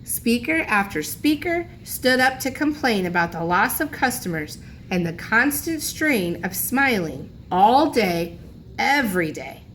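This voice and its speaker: A woman's voice: a little high, sing-song, measured pace, fairly bright tone, a little hiss or hum. A woman reading a children's storybook aloud like a teacher, expressively, lingering on key phrases for emphasis.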